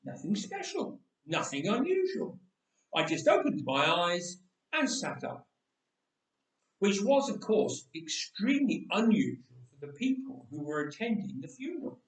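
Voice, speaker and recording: Man as young villager back from the dead, chatty, wry understatement, lively intonation, distant mic, echoing church. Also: high voice, a little slow, full character voice. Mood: wry, wondering. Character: young man of Bible times raised from death, chatty, wry. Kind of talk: dramatic first-person storytelling monologue. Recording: roomy church echo, distant microphone.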